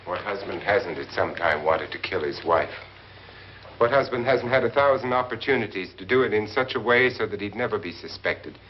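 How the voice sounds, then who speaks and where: Deep, sardonic millionaire played by a man. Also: expressive, a little hiss or hum, natural voice. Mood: measured, suspicious. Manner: theatrical, imperious, deliberate. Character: wealthy, sardonic, icy middle-aged husband, imperious and menacing. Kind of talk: 1950s horror film acting. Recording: muffled 1950s film soundtrack with music.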